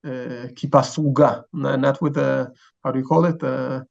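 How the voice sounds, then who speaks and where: A man's voice: medium pitch, steady tone, normal speed, gravelly, muffled recording. A man in his forties with an Israeli accent, explaining things as an interview guest, hesitant and often searching for words. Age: 40s. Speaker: chief rabbi, interview guest. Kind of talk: informal interview answers, explanatory.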